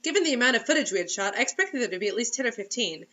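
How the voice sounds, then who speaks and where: Woman, bright forceful voice, animated intonation, playful mocking story narration, casual asides, slightly dull home recording. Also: fairly high pitch, rapid-fire. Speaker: host reading a story aloud with mocking asides. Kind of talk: comedic dramatic reading with casual commentary.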